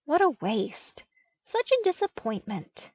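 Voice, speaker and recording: A woman's voice: sits high, huge pitch swings, slow, a little mellow, no room sound, voice pushed up, exaggerated voice, low-quality recording. A woman voicing a cheerful, outspoken young male apprentice in a fan fiction audiobook, chatty and emphatic. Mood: cheerful, mock-disappointed. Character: cheerful, outspoken young desert-born man, eager apprentice.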